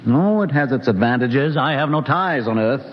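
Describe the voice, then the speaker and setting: An actor playing a grave, fatalistic ship's doctor, with measured, philosophical speech in a 1950s radio drama. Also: male voice, mid-range voice, lively intonation, natural voice. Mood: detached. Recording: dull old radio recording with hall reverb.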